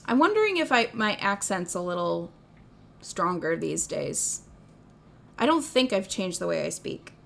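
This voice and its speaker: A woman's voice: on the low side, very animated, a little slow, vocal fry. Young midwestern woman streaming, rambly, jokes at her own expense, laughs a lot. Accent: Midwestern American. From American Midwest. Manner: rambling, self-deprecating, laughs often. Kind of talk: casual livestream chat.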